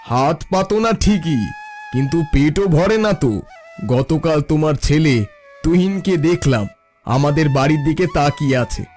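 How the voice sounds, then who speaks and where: A man plays a smug, idle, taunting man of means in a cartoon folk tale. He drawls slowly and condescendingly in a fairly high male voice. Music plays behind him. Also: expressive, darkish tone. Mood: mocking.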